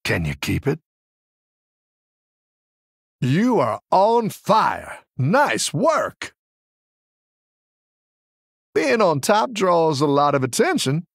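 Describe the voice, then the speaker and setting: A friendly fantasy tavern keeper for a video game, warm and encouraging. His voice is gravelly and swings widely up and down. He takes his time over each line in a folksy, welcoming way. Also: a little high, huge pitch swings, extremely slow, long pauses, no echo, studio quality, full character voice. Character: friendly, encouraging tavern keeper who tends little dragons. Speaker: video game character voice actor. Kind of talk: game character voice lines.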